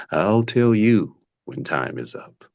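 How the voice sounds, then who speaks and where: A man playing a vengeful, grieving patient in a dramatic table read, slow and taunting with sudden drops toward a whisper. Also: lowish voice, very animated, vocal fry, a little mellow, dry recording, muffled recording, exaggerated voice. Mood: gloating menace. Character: menacing, vengeful male patient grieving his twin sister.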